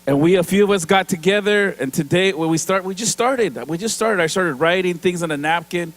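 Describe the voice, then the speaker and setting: A man's voice: high voice, brisk, fairly bright tone. A man giving an award speech on stage, chatty and joking, often laughing and slipping into Spanish. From Mexican-American from San Diego. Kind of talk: casual speech with stage humor. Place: event stage before an audience.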